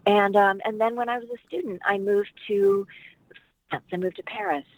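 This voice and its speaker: A middle-aged woman from Washington, D.C., talks through her life story in a loose, reflective way, pausing on fillers as she goes. Her pitch sits in an ordinary middle range. It sounds like a relaxed interview guest chatting. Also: narrow, tinny audio. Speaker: actress and dialect coach, interview guest. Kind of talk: casual interview conversation.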